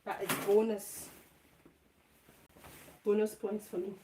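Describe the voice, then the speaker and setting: A woman talks through her sewing live at home, her voice hoarse and croaky. The room sounds echoey, and a faint hiss of noise runs underneath her. She chats away to herself and the viewers as she works. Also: mid-range voice, little pitch movement, slow, dark tone. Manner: chatty, talks to herself while working. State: hoarse, lost voice. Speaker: sewing vlogger livestreaming. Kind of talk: casual live chat while sewing. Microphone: phone or camera set up nearby.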